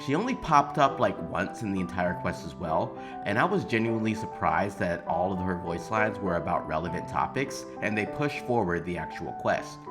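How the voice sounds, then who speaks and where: Deep-voiced, animated male game commentator. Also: normal speed, few pauses, over music. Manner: emphatic, informal, occasional swearing. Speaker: video game commentary creator. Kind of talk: opinionated scripted video essay commentary.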